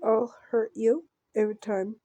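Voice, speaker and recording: A woman voicing a menacing, obsessive male killer from a horror visual novel, reading his threats haltingly. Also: a little high, little pitch movement, dark tone, no echo. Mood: threatening.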